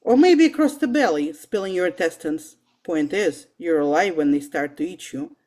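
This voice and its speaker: A woman's voice: lowish voice, lively intonation, normal speed, dark tone. A woman reading the part of a wry, guarded male paleontologist in an amateur table read of a film script, dryly and with some stumbles. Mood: menacing lecture.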